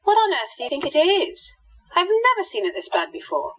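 A woman performing scripted radio drama and narration in a dramatic, expressive manner. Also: high-pitched, brisk, lo-fi sound, exaggerated voice. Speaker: narrator and character actress.

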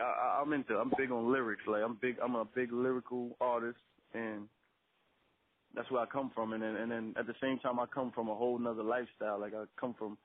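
A man's voice: medium pitch, no room sound. A young man of twenty speaks as a rapper in a casual interview. His talk is slangy and he often repeats short phrases for emphasis. The sound is narrow and phone-like, with very little room to it. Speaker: up-and-coming rapper being interviewed.

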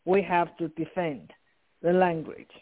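A woman's voice: on the low side, steady tone, a little slow. A woman from China, a guest author talking with urgent insistence and some grammar slips. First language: Chinese. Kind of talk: interview over the phone. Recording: tinny phone line.

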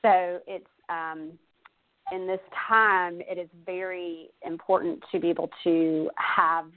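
Female guest, 30s, conversational advice-giver, slightly low voice, narrow phone-quality sound. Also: steady tone, slow pace. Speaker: guest giving advice on self-care. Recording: dull phone-like audio.

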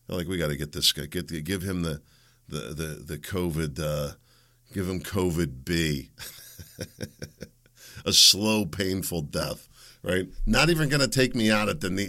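A middle-aged man hosting a solo political commentary show, impassioned and rising to loud rhetorical questions, then drifting into rambling asides, with a slightly raspy voice. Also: fairly low pitch. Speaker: host.

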